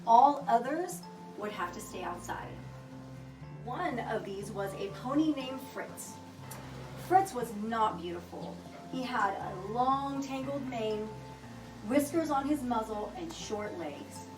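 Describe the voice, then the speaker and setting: A woman reading a children's picture book aloud with expressive, deliberate storytelling delivery. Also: a little high. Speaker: storybook reader. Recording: roomy echo, distant mic, music behind.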